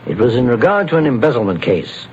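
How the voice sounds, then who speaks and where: Guy playing cool-headed detective boss, measured, muffled old radio sound. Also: medium pitch, very animated, natural voice. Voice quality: measured, authoritative. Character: calm, commanding male private investigator. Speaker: detective lead. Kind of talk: 1940s radio acting. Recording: dull lo-fi old radio recording, faint hiss.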